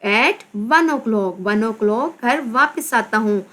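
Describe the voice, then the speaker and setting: Slow, patient Pakistani woman teaching. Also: fairly high pitch, expressive, a bit bright. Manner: reads English slowly then explains each word; addresses pupils as "beta". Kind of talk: slow textbook lesson with translation.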